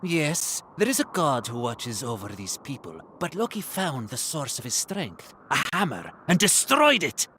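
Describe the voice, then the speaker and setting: A man's voice: mid-range voice, very animated, unhurried, few pauses, bright, forceful tone. An actor playing an eager, boastful henchman of a villain in a video game cutscene. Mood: gloating. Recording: slightly noisy game screen capture.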